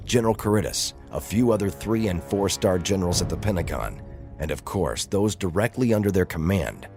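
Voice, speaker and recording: A man performing as the grave narrator of a military science fiction audio drama, reading a script dramatically. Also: deep voice.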